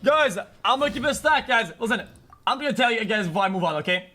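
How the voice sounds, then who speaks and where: A man's voice: very high voice, lively intonation, fast talker, sharp tone. A man livestreaming an unscripted, agitated rant to his chat, swearing often and stumbling over repeated words.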